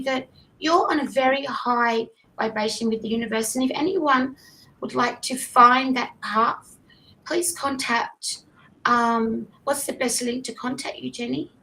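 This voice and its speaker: Woman host, higher gravelly voice, chatty and apologetic, hoarse, faint hiss behind. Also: fairly bright tone, poor audio. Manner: chatty, apologetic, trips over her words. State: losing her voice. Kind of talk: casual live-stream chat.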